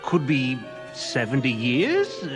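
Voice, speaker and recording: A man voicing a nervous court wise man in an animated Bible story, hesitant and evasive as he gives an ominous reading. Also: mid-range voice, slow, music in the background.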